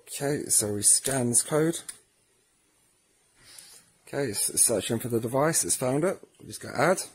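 Male tutorial presenter, mid-pitched with a husky edge, informal and friendly, walking viewers through steps. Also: animated, a little slow. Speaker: presenter of a product tutorial series. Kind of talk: casual spoken how-to demonstration.

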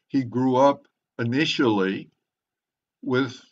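An older man speaking in a measured, deliberate, professorial way as he moderates an online book discussion. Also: darkish tone. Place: indoors, on a video call. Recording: muffled, low-fidelity video call.

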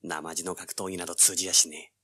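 An actor playing a self-assured, hard-boiled teenage treasure hunter, narrating and speaking in an audio drama. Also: male voice, low-pitched, little pitch movement, fairly quick, pushed and bright, slightly rough, exaggerated voice. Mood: intrigued, narrating. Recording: music behind the voice. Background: background music.

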